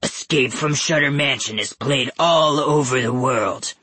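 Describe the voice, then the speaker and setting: A man voicing a monstrous, bloodthirsty wolf-like villain in an audiobook, with a deep growl and a commanding, boastful manner. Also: pushed and bright, breathy edge, no echo. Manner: commanding, slow, menacing.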